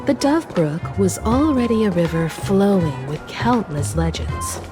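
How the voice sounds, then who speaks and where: A woman voicing a composed, wistful young woman in a game story, talking in a measured, reflective way. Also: mid-range voice, sing-song, over music. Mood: thoughtful. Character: composed, wistful young woman, former scrappy martial artist student. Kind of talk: scripted game story dialogue.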